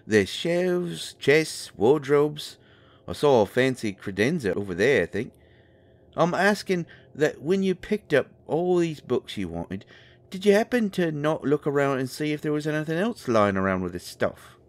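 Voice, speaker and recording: A man's voice: a little high, big pitch swings, a little slow. A man playing a cheeky, impatient, streetwise young British man, blunt and slangy, in an urban fantasy audio drama. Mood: sceptical.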